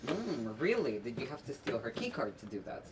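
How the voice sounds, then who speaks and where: A man's voice: mid-range voice, sing-song, a little fast, natural voice. Distant, noisy teen actor as captive male spy. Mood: suspicious, insistent. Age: teenager. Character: confused captive male spy held in a psych ward. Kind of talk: amateur stage play acting. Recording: distant noisy stage recording with clicks.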